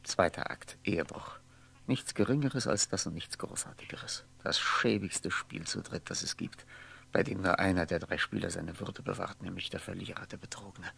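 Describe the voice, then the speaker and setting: An actor playing a lonely, bitter middle-aged man in a 1960s radio play monologue, brooding wearily and haltingly, often in a hushed voice. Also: deep voice, in a whisper, heavily acted. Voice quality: husky, gravelly.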